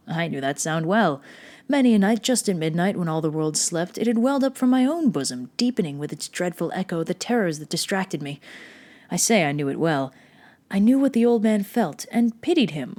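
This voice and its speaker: A woman's voice: on the low side, close to an everyday voice. Woman acting a theatrical, wildly swooping mad murderer. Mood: sinister, knowing. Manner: theatrical, deliberate, dropping to hushed tones and swelling. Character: mad, obsessive murderer insisting on their sanity. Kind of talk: dramatic reading of gothic horror monologue.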